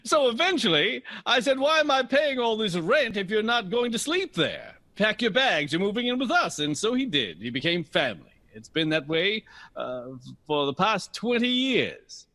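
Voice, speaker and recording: A man's voice: sits high, big pitch swings, normal speed, bright, forceful tone, heavily acted. A man acting in an audio drama as a genial, teasing veteran jazz bandleader interviewed on radio. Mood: teasing, fond.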